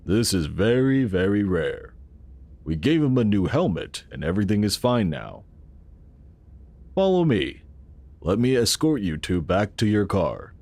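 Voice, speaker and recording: Casting: courteous, persuasive sci-fi founder, low voice with very lively intonation. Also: male voice, a little slow, no room sound, heavily acted. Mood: courteous, reassuring. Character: assured male founder of a futuristic secret city. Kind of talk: audio drama acting.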